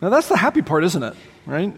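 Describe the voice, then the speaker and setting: A man preaching a sermon as pastor, animated and exclaiming, voicing his listeners' doubts aloud, in a large church sanctuary. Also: sits high, a little fast, breathy edge. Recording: long hall reverb.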